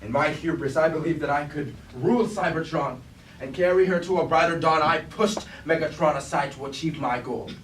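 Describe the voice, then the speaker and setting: A man's voice: on the high side, brisk, natural voice. A man playing a guilt-ridden robot war leader in a staged tragedy, speaking in solemn, formal, archaic declamation. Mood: remorseful. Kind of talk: staged reading of mock Greek tragedy. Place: indoor performance space, recorded from afar. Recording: echoey stage room, distant mic, faint noise.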